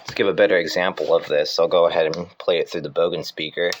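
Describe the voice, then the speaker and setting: Low-voiced man giving a casual demo. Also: steady tone, fast talker. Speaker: hobbyist presenter demonstrating equipment. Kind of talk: casual hands-on demonstration. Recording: clear background noise.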